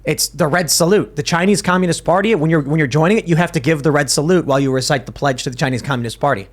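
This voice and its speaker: A man hosting a studio podcast talk, with a voice pitched a little higher than most men's. He speaks in an emphatic, animated way and often trips over and restarts his sentences. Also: very fast. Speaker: podcast host. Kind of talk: casual panel talk.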